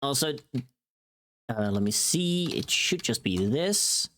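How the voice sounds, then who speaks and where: Livestreamer, mid-range pitch, vocally tired, informal and rambling chat delivery. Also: lively intonation, takes it slowly, a bit bright. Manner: rambling, informal, mildly sweary. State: tired voice from long recording.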